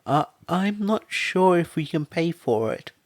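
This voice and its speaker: A man doing a gentle, weary nurse mother. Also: a little high, very animated, unhurried, no echo, clean studio sound, pitched above the performer's own voice. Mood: worried. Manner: soft, stammering, clinical.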